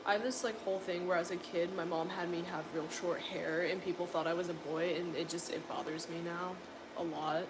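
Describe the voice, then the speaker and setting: A young woman from coastal North Carolina with a deep voice, chatting casually on a livestream and answering viewers' comments with lots of filler words. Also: steady tone, fairly quick, noisy background. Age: young adult. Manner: rambling, filler-heavy, exasperated. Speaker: livestream host.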